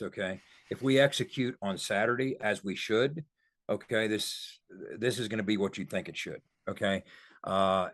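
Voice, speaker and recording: A man's voice: fairly low pitch, dry recording. A man co-hosting a podcast as a football analyst, talking through his points conversationally and emphatically.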